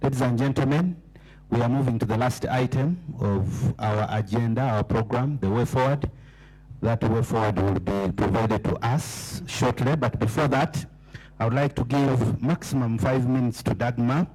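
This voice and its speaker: A man's voice: mid-range voice, normal speed, a little mellow, husky, faint music behind, low-quality recording. A man moderating a formal meeting, thanking speakers and announcing the next agenda items in a courteous manner.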